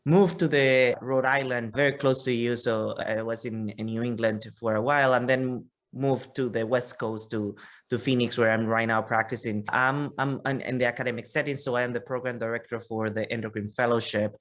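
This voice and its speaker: A man's voice: medium pitch, fairly even intonation, moderate pace, a bit bright. A man with a Panamanian Spanish accent giving long, conversational interview answers, often repeating words as he goes. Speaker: interview guest, physician.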